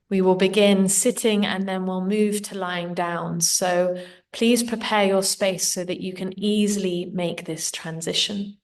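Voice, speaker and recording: A woman guides a meditation at a very slow, unhurried pace. Her delivery is gentle and soothing, with a medium pitch. The voice sits some way back from the microphone. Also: far from the mic.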